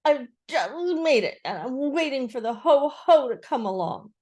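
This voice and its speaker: A woman voicing a small male piglet from a storybook, anxious and excitable, squeaky and flustered. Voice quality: squeaky, sometimes husky. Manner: anxious, hurried, exclaiming.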